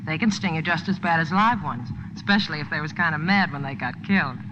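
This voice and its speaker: A woman's voice: low voice, fast, natural voice. A worldly, teasing young woman played by an actress in vintage radio drama. She speaks with dry, laconic cool. The old broadcast is muffled and noisy. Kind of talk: 1940s radio drama acting.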